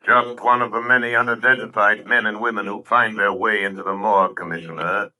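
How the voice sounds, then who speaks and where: An actor playing a mysterious, commanding crime-fighting avenger in an intense, emphatic 1930s radio drama style. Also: male voice, fairly low pitch, flat delivery, brisk, bright, forceful tone, low-quality recording. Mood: solemn. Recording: vintage 1930s radio broadcast.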